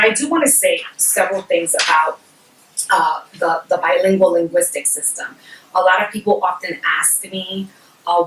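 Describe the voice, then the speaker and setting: Woman, middle-aged, native Spanish speaker, lowish voice, measured explainer, roomy echo, distant mic, faint hiss. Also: fairly bright tone. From Puerto Rico. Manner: measured, explains with frequent ums and personal examples. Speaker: guest speaker on bilingual education. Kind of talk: explanatory workshop talk. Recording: echoey room, mic at a distance, faint noise.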